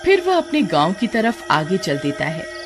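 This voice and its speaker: A woman narrates a scripted horror cartoon tale. Her delivery is very animated at a middling pitch, and music plays clearly behind her. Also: unhurried.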